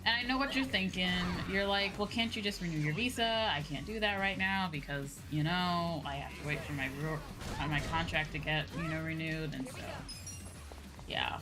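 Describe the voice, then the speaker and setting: A young woman chatting casually on a game livestream, rambling, expressive and informal, swearing freely. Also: on the low side, normal speed. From outside Japan. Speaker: VTuber game streamer. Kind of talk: casual livestream chat over a video game. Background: game music.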